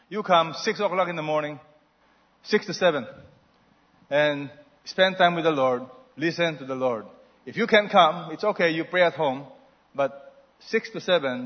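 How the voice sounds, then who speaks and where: Emphatic pastor preaching in a hall. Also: male voice, on the high side. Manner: emphatic, exhorting. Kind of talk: sermon. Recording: dull sound in a reverberant hall.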